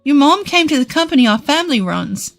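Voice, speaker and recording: Casting: woman as high-voiced young female friend in a narrated drama, concerned and careful. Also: big pitch swings, a little fast, gravelly, soft background music, exaggerated voice.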